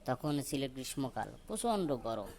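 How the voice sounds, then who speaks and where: A man's voice: medium pitch, sing-song, normal speed, a little mellow. A man narrating a children's folk tale for a cartoon. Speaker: story narrator.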